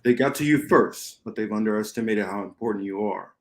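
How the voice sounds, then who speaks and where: A man's voice: lowish voice, fairly quick, natural voice. A man reading a grave, cryptic rebel leader in a radio play, solemn and emphatic. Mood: grave, urgent.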